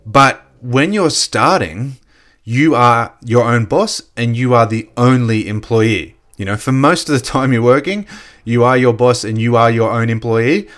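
A man with a slightly croaky voice giving a casual motivational business talk, full of "you know" fillers. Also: mid-range voice, a little slow, fairly bright tone. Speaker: network marketing coach. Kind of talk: motivational solo podcast talk.

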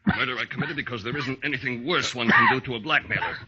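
An actor playing a desperate, menacing killer with a gun in a 1940s radio drama, speaking in curt threats. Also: male voice, mid-range voice, animated, quick pace, close to an everyday voice. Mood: cold menace. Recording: muffled, very noisy 1940s radio broadcast.

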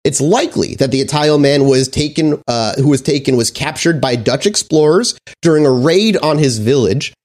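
A man's voice: on the high side, normal speed, few pauses, a little mellow. A man hosting a casual history podcast, reading from notes with frequent fillers and restarts. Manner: reads research aloud with frequent fillers and restarts. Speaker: podcast host.

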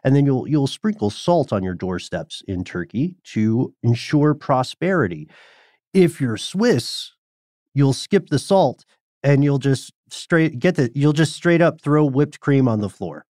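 Lively Southern American podcast man. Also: mid-range voice, unhurried, dry recording, clean studio sound. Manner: lively storyteller, acts out quotes. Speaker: podcast co-host. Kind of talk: casual podcast conversation.

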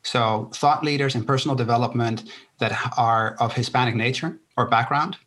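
A man born in the Netherlands to Chilean parents, whose first language is Spanish, speaking as a coach guest in an interview in a measured, reflective way. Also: medium pitch, a bit bright. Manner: measured, reflective, explains step by step. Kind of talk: interview conversation.

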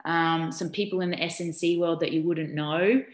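Middle-aged Australian woman, low voice, chatty interviewee full of ums, echoey room. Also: moderate pace. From Newcastle, New South Wales, Australia. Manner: chatty and animated, many ums and restarts. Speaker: interviewee, former basketball player. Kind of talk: casual interview answers.

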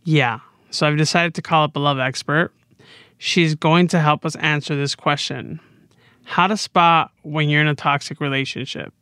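A man's voice: fairly high pitch, sharp tone, clean tone, no echo, studio quality. An American man co-hosting a podcast in a conversational style. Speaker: podcast co-host.

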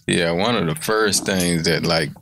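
A middle-aged man chatting casually as a guest, laid-back and unhurried, often checking in with the listener. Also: sits low, a bit bright, slight background noise. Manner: laid-back, repeats "you know what I mean". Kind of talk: casual chat.

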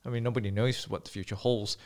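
A man's voice: on the low side, fairly even intonation, fast. A man from Malaysia hosting a podcast, telling his own story in an earnest, conversational way. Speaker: podcast host. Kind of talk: casual interview talk.

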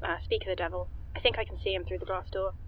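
A woman's voice: lowish voice, steady tone, fast, lo-fi sound, a little hiss or hum, close to an everyday voice. An actress playing a curious, wry young woman scientist in an audio drama, speaking casually and sardonically. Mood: musing.

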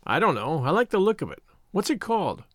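A man's voice: sits high, big pitch swings, fast talker, fairly bright tone, no echo, studio quality. A man voicing a rude, scornful English schoolboy scout in a storybook reading, brash and dismissive. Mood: curious, impatient.

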